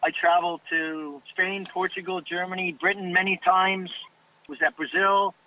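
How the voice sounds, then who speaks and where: A man's voice: high-pitched, flat delivery, normal speed, sharp tone. A Canadian man working as a soccer agent, talking earnestly in a casual interview and often repeating words as he starts a thought. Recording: tinny phone line.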